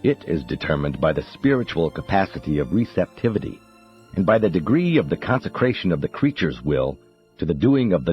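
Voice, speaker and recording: A man reading a spiritual text as a professional audiobook narrator, in a solemn, measured, declamatory manner. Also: low voice, husky. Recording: dull muffled audio under music. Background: background music.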